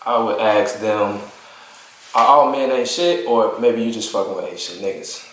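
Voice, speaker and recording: A man's voice: on the low side, moderate pace, very noisy. A twenty-three-year-old man from Chicago, speaking bluntly and laughing easily as a panelist in a casual discussion. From Chicago, USA. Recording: echoey room, distant mic.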